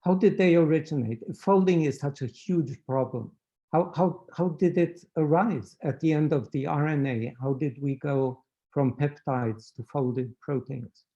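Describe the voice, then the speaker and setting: A man's voice: on the high side, measured pace, dark tone, highs cut off. A middle-aged man from Romania, giving a scientific lecture and checking in with "right?" as he explains. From Romania (Transylvania).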